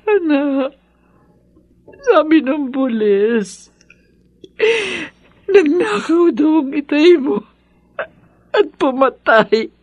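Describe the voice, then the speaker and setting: A woman's voice: high-pitched, big pitch swings, drawn-out words, pauses often, darkish tone, lo-fi sound, exaggerated voice. An actress playing a distraught, loyal wife and mother in a radio drama, with a Filipino accent and an emotional, pleading delivery. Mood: anguished, tearful. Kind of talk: horror radio drama acting.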